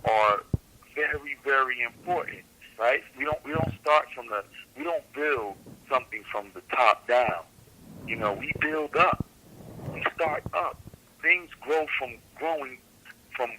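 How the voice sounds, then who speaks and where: Man, radio guest, very bright tense voice, earnest, repeats himself for emphasis. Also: medium pitch, slight background noise, rough recording. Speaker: rapper and city council candidate guest. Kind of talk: radio interview answer.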